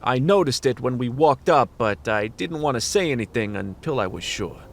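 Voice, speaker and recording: An actor playing a world-weary fantasy detective in a noir radio play, brooding and dramatic. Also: male voice, medium pitch, expressive, normal speed, creaky. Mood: knowing, grave. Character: hard-boiled fantasy detective, world-weary, knowing, dealer with demons.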